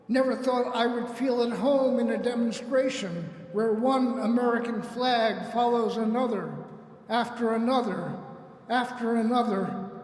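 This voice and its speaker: A man reading and introducing poems live at a festival, speaking in a deliberate, declamatory way. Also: very high-pitched. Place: large reverberant room, live event at a microphone. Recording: echoey hall with long reverb.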